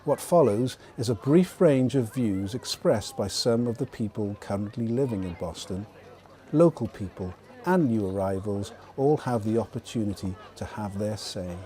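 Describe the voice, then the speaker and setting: A man narrating a documentary and asking interview questions in a measured, formal way. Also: fairly low pitch, lively intonation, mellow.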